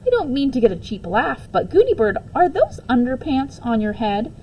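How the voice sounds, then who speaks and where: Storybook schoolteacher read by a woman: soft-edged tone, articulate, firm, kindly authority. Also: high voice, sing-song, slight background noise, pitched above the performer's own voice, poor audio. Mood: puzzled. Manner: measured, articulate classroom authority. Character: firm but kindly female second-grade schoolteacher.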